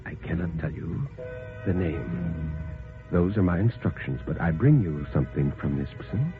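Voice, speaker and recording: A tinny old radio recording carries a low, hushed male messenger, acted out by a man. He is clerkish, respectful and unsettlingly reserved, giving nothing away in measured phrases. Music plays behind this radio horror scene. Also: lively intonation. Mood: quiet, secretive. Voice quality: quiet, hushed. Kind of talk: 1950s radio horror acting. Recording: tinny, narrow-band 1950s radio recording. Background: dramatic background music.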